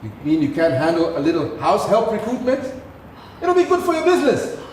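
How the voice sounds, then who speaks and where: A man's voice: sits high, huge pitch swings, a little fast, a little mellow. An actor playing a stern, proud, wealthy father in a stage play, scolding his household with authority. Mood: reproachful. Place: theatre stage, recorded from a distance. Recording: echoey theatre hall from far off, faint noise.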